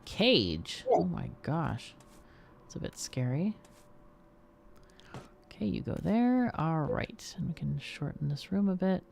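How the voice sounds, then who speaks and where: A woman's voice: lowish voice, slow, vocal fry, pauses often, a little hiss or hum. A woman hosting a casual game livestream, exclaiming and talking to herself as she plays. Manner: expressive, exclaiming, talks to herself while playing. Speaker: game streamer and host. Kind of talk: casual livestream gameplay commentary and chat.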